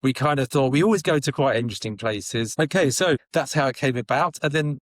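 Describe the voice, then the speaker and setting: A man who works as a coach and publisher, talking in a casual, halting way with many pauses. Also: medium pitch, no echo, clean studio sound. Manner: hesitant, lots of uh and restarts. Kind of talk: casual conversation.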